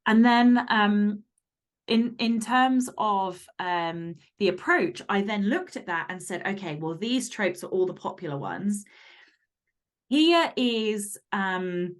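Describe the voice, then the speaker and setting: A woman's voice: mid-range voice, animated, slow. A woman speaking as an author guest in a casual interview, candid and emphatic, with some casual swearing.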